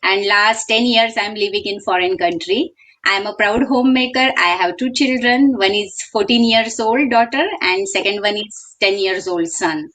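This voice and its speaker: A woman's voice: medium pitch, moderate pace, a bit bright, rough recording. Earnest woman guest. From Mumbai, India. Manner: earnest, repeats yes and yeah. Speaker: guest, homemaker. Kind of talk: casual interview talk.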